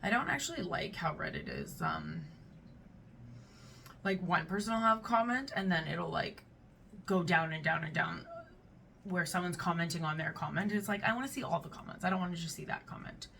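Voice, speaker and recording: A woman's voice: lowish voice, animated, normal speed, creaky, sharp tone, far from the mic, slight background noise. A woman hosting a casual reaction video, chatting and reading online comments aloud. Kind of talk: casual reaction to read-out posts.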